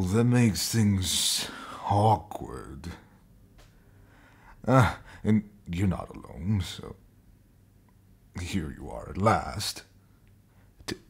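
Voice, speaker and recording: An actor playing a weary, brooding old pirate king in an audio drama, with a gravelly, breathy voice and halting delivery. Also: sits low, full character voice.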